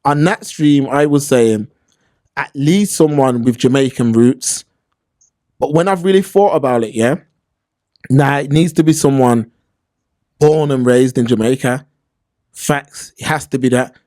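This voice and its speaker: A man's voice: medium pitch, a little slow, pauses often, mellow. A man with a London accent giving opinions in casual podcast talk, slangy and emphatic, repeating points for stress. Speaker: podcast host.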